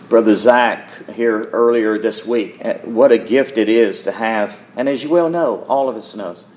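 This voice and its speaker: A man's voice: on the low side, a little hiss or hum. A man preaching a sermon and reading scripture in an earnest, emphatic manner, repeating words for weight and checking in with his listeners.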